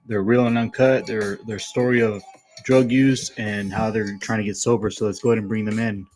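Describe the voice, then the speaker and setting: A man's voice: fairly low pitch, level tone, moderate pace, a little mellow, soft background music. A man hosting a podcast, greeting listeners and asking short, easygoing questions. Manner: laid-back, short prompting questions. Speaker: podcast host. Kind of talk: casual podcast interview.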